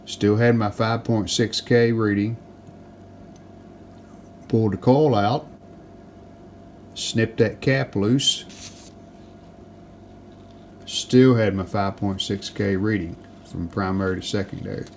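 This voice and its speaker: A man's voice: lowish voice, slow, pauses often, darkish tone. A man casually narrating his hands-on repair work step by step, plain-spoken and matter-of-fact. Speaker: restoration video host. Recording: clearly noticeable background noise.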